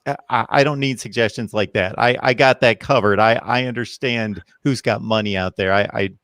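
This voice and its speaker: A man hosting a casual sports chat, speaking plainly with halting repeated starts. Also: fairly low pitch, fairly bright tone, no echo, clean studio sound. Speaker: show host.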